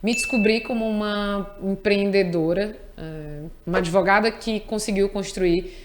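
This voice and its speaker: A woman talks as an interview guest. Her pitch sits in a middle range. Her delivery is earnest and emphatic, and she often repeats key words. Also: measured pace, background noise. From Piauí, Brazil. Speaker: tax lawyer, interview guest. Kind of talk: conversational interview.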